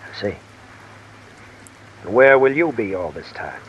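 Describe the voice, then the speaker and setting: Guarded, low-voiced crooked assistant. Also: male voice, a little slow, highs cut off. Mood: resigned, terse. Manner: polite, guarded, terse. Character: guarded, smooth-talking embezzling male assistant under pressure. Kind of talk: 1950s American radio mystery acting. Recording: old radio recording with steady rain noise. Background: rain falling.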